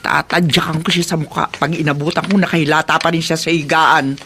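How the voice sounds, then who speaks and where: A woman's voice: low voice, little pitch movement, brisk, fairly bright tone, slight background noise. A Filipino woman playing a cruel, abusive aunt who scolds and threatens in a radio drama. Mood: seething, irritated. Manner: scolding, name-calling, threatening. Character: cruel, abusive aunt berating her young housemaid niece.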